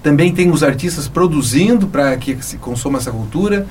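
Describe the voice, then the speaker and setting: A man's voice: fairly high pitch, animated, a little fast, darkish tone, slight background noise. A man with a Brazilian accent interviewing a guest casually as the host of a show.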